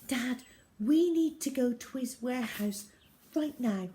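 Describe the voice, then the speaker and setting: A woman's voice: on the high side, expressive, a little slow, mellow, slight background noise, voice pushed up. A woman voicing a brave, urgent young girl in a children's storybook, pleading and insistent.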